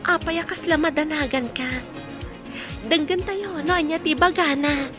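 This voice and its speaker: A woman's voice: sits high, normal speed, music in the background, close to an everyday voice. A woman playing a sweet, loving young bride in an Ilocano radio drama. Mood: concerned.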